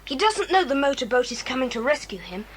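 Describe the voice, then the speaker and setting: A woman playing a bold, decisive boy who leads other children in an audiobook drama. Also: very animated. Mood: urgent, commanding. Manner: brisk, commanding, earnest. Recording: hissy, rumbling 1980s cassette transfer.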